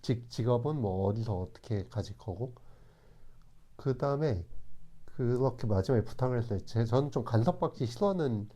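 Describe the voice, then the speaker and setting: A man in his forties talking in a calm, reflective way, often pausing and restarting his sentences. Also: mid-range voice. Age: 40s. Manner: calm, hesitant, with many restarts. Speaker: channel host. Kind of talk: reflective talk to camera.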